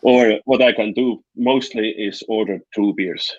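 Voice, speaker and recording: A man's voice: fairly low pitch, unhurried, dry recording. Swiss German man on a call. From Switzerland. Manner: chatty, hesitant, many restarts. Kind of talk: casual video call chat. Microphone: video call. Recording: dull lo-fi call audio.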